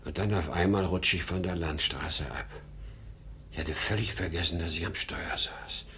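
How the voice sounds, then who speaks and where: A man's voice: low voice, normal speed, bright, forceful tone. An actor playing an exhausted, rattled travelling salesman in his sixties in a 1950 radio drama, rambling and agitated. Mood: dreamy, unsettled. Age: 60s. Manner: weary, agitated, rambling confession. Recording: noisy old 1950 radio recording.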